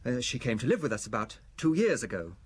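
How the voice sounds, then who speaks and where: A worried, fragile husband in a detective audio drama. The voice is mid-pitched and husky. He speaks haltingly and nervously, yet presses on with obsessive, quiet determination. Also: big pitch swings. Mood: earnest, confiding. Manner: nervous, halting, earnestly insistent.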